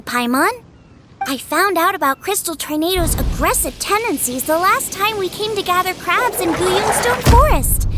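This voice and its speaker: A sweet young girl from an anime game, extremely high-pitched and lively. She talks in a practical, explanatory way. Noticeable noise runs behind her.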